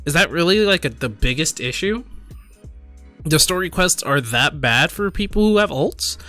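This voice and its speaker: A man giving casual reaction commentary as a gaming video creator, halting and conversational as he thinks aloud and reads out comments. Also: fairly high pitch, animated, pushed and bright, faint music behind.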